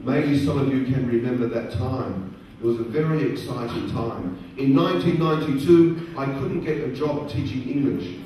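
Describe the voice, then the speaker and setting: A middle-aged man speaking to a live audience at a career event, using slow, simple, repeated phrasing and asking the crowd questions. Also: mid-range voice, warm tone. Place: large conference hall. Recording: very echoey hall, distant voice, faint noise.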